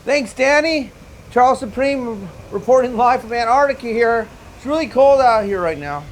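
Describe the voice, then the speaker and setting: A man's voice: very high-pitched, lively intonation, normal speed, low-quality recording. A man voicing a flustered male field reporter stuck in a snowstorm, stammering as he talks.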